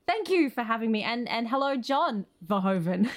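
A woman's voice: fairly high pitch, sing-song, moderate pace. An Australian woman chatting casually as a guest writer on a podcast. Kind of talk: casual chat.

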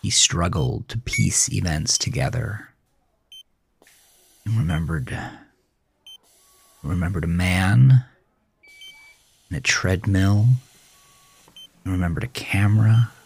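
A man narrating a scripted horror story in a dramatic, deliberate and intense manner. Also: low-pitched, expressive, vocal fry, a little hiss or hum. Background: music.

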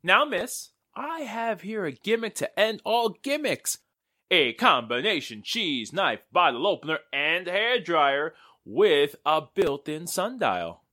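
Man playing a wisecracking, lively 1940s radio pal. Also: high voice, slow pace, dry recording, studio quality, heavily acted. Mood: jokey salesman patter. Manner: jokey, theatrical patter. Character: wisecracking, playful man friend of a newspaperwoman.

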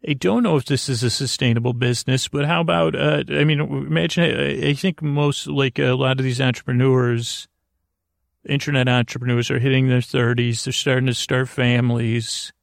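A man with a gravelly, creaky voice hosts a sleep podcast. He rambles and stammers his way through long digressions, often restarting. The sound is close and dry, recorded in a closet. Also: medium pitch, fairly even intonation, normal speed, no echo. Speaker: podcast host.

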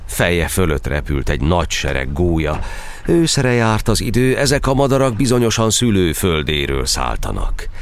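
Deep-voiced man, animated dramatic verse narrator. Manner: theatrical, declamatory storytelling. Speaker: narrator and actor. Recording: faint noise, sound-effect ambience behind.

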